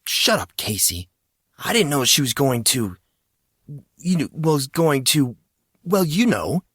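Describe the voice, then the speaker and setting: A man's voice: mid-range voice, big pitch swings, slow, a bit bright, husky, dry recording, clean studio sound, voice pushed up, full character voice. A man voicing a flustered, defensive teenage schoolboy in an audiobook story, speaking in indignant casual slang. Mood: embarrassed.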